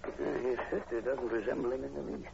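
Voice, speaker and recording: An actor playing an earnest university professor, measured and polite with hesitant pauses, in 1940s radio horror style. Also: male voice, mid-range voice, steady tone, vocal fry. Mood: thoughtful. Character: earnest, courteous university professor investigating werewolves. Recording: dull, noisy old radio broadcast recording.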